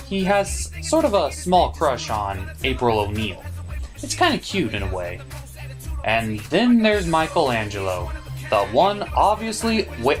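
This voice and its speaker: A man narrating a scripted cartoon review as host, opinionated and emphatic. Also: mid-range voice.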